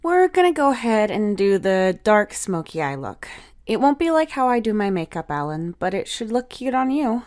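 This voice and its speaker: A woman's voice: mid-range voice, big pitch swings, moderate pace, darkish tone, voice pushed up, lightly acted. A woman playing a playful, supportive big sister. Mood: breezy, playful. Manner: teasing, encouraging, casual.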